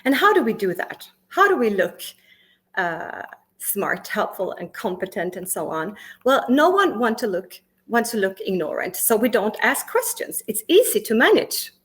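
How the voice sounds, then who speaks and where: A middle-aged woman from Sweden gives a prepared conference talk in English. She speaks with emphasis, asks the audience questions, lists words one at a time with pauses, and makes small grammar slips and restarts. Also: mid-range voice, very animated, fairly bright tone. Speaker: conference speaker and workplace consultant.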